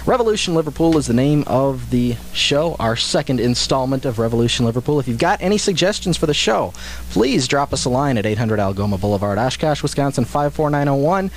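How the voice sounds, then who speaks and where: A man's voice: mid-range voice, animated, brisk. A young man co-hosting a college radio music show, chatting in a rambling, self-deprecating way. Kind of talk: casual college radio banter. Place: radio studio. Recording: old radio aircheck with faint hiss.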